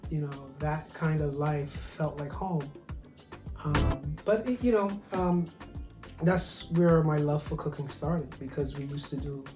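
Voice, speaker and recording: A man from Barbados with a Barbadian accent, a chef talking as a guest in a casual virtual interview, soft-spoken and hesitant with many ums. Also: fairly high pitch, a little slow, roomy sound, music in the background. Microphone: video call.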